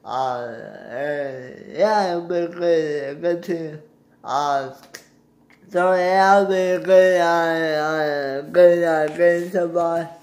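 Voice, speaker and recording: A young man talks through a casual sports recap, recorded on a phone in a room at home. His voice sits a little high for a man. He speaks slowly and haltingly, often searching for the next word. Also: steady tone, a little mellow. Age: young adult. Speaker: sports vlogger. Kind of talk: casual postgame basketball recap. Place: small indoor room at home. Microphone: phone at arm's length.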